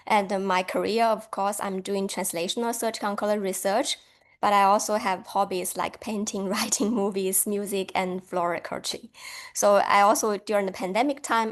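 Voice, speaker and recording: A woman from China giving a friendly academic lecture over a video call, laughing lightly now and then. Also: medium pitch.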